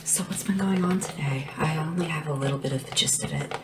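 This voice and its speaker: A small, caring assistant to a dragon ruler, acted by a woman in an ASMR roleplay. The voice is low and soft, often slipping into a whisper, with an unhurried, deferential manner. Clear noise and tapping sit behind the lo-fi recording. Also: lightly acted. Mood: soothing, chatty. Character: small, devoted, gentle assistant to a dragon monarch. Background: tapping and background hiss.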